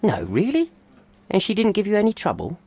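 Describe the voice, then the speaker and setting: An actor playing a chatty, affable and put-upon police desk officer in a video game, informal and wry. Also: male voice, sits high, huge pitch swings, brisk, creaky, darkish tone, breathy edge, exaggerated voice. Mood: surprised.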